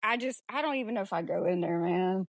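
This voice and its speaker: A woman with a medium-pitched voice talks casually about a film as a podcast co-host. Her delivery is blunt and informal, full of slang. The sound is dry, with very little room. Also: expressive, fast, dry recording.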